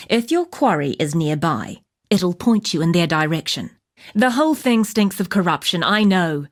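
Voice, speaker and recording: Casting: actress for a game's wilderness huntress, slightly low register, purposeful, wry and matter-of-fact. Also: female voice, natural voice. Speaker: game character voice actor. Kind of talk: video game quest-giver dialogue.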